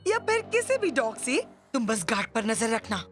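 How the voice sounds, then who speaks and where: An actor in a Hindi cartoon dub playing an excitable, determined young boy hero, urgent and earnest. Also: medium pitch, normal speed, faint music behind. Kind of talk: Hindi-dubbed cartoon acting.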